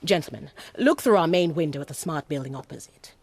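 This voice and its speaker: Poised, husky-voiced saleswoman. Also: low voice, animated, fairly quick, heavily acted. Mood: persuasive. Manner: poised, persuasive, formally courteous. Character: smooth, assured female gallery saleswoman pitching a property investment. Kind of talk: radio drama acting.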